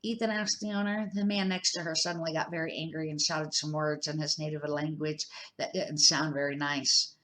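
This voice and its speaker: A woman's voice: low voice, level tone, moderate pace, hardly any pauses, fairly bright tone, poor audio. An older woman reading a children's book aloud in an unpolished way, with small slips and restarts. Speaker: storybook reader.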